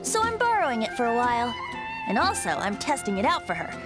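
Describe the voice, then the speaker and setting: A woman's voice: on the high side, huge pitch swings, quick pace, low-quality recording. A woman voicing a playful, carefree young male bard in game dialogue, teasing and coy. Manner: lighthearted and teasing, laughs easily, coy with answers. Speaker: bard character. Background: game music.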